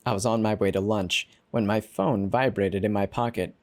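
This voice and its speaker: A narrator giving an expressive, dramatic first-person reading of a horror story. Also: medium pitch, normal speed. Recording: background music behind the narration. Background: music.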